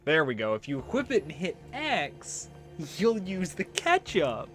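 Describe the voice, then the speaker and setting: A man hosts a video game playthrough in a casual, joking way. His pitch is lively and swings around freely as he goofs about. Faint music plays behind him. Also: measured pace. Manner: jokey, breaks into silly singing and goofing. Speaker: let's play host.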